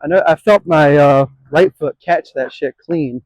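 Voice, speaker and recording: A man's voice: a little high, measured pace, a little mellow, no echo, lo-fi sound. A man in his late twenties filming a casual skateboarding vlog, rambling and self-deprecating, swearing now and then. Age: 20s. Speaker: skateboarding vlogger.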